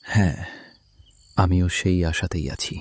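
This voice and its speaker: A man's voice: low voice, fairly even intonation, slow pace, slightly rough, no room sound, exaggerated voice. A man playing a poor, honest, hardworking farmer in a cartoon fairy tale, speaking earnestly and plaintively. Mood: hopeful, gentle. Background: music.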